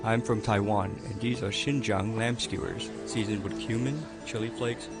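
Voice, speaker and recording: A man playing a calm chef with a lowish voice, listing his spices one by one. Music plays behind him. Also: moderate pace, natural voice. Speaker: chef contestant. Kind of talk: film dialogue. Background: background music.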